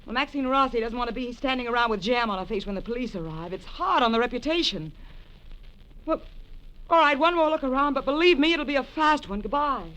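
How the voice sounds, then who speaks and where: An actress playing a cool, teasing femme fatale in a 1940s radio crime drama. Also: female voice, high voice, expressive, a little fast, fairly bright tone, rough recording. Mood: urgent, hard. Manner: coy, self-possessed, teasing. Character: sultry, cool, gun-toting femme fatale.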